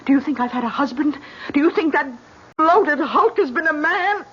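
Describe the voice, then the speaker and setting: Haunted young wife: high voice, bitter, melodramatic, urgent, dull old radio sound. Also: female voice, expressive, normal speed, a bit bright, a little hiss or hum. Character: bitter, frightened, superstitious young wife obsessed with vampires. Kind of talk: 1970s radio drama acting.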